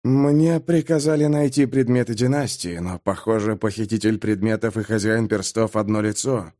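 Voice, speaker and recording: Audiobook captive sleuth, male narrator in role: quiet, courteous, halting and unsettled. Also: on the low side, no room sound, studio quality, close to an everyday voice. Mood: subdued. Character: shaken captive investigator, polite adult man.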